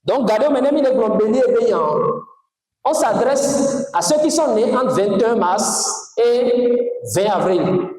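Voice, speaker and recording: High, animated man in an echoey hall. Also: a little slow. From Togo. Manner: animated, emphatic, questions to the audience. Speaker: spiritual teacher. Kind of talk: lecture to a class. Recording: very echoey room.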